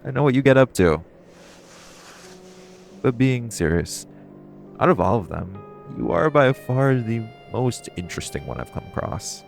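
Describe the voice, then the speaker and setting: A man's voice: medium pitch, sing-song, vocal fry, faint music behind. A man playing a flirty, cocky supervillain thief in an audio roleplay, chatting casually and teasingly to the listener. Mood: coaxing, flirty. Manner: casual, teasing, conversational with playful pauses.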